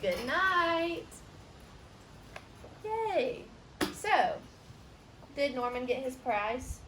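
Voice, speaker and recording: A woman reading a children's storybook aloud expressively, pausing for asides and questions to young listeners. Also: sits high, sing-song. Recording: roomy echo, noticeable background hiss.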